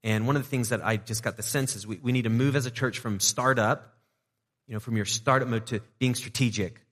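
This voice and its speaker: A man preaches in an easygoing, conversational way, tossing playful asides to the people in front of him. His voice sits at an ordinary middle pitch. The sound carries a long reverb from a large church hall. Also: fairly quick, fairly bright tone. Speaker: pastor. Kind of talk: sermon. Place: church sanctuary.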